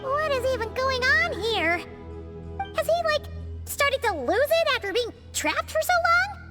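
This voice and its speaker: A woman's voice: big pitch swings, unhurried, over loud music, heavily acted. A woman voicing a tiny, childlike, chirpy and blunt fairy companion in a video game. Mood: nervous, blunt. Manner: squeaky, refers to self in third person. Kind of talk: video game character acting.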